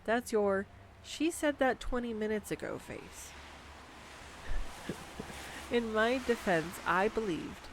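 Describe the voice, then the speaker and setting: A woman voicing an excitable, nerdy young girlfriend who rambles enthusiastically with giggles in a scripted audio roleplay, recorded on a condenser microphone. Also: a little high, slow, long pauses, noisy background. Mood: tender. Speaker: voice actor. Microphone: USB condenser microphone.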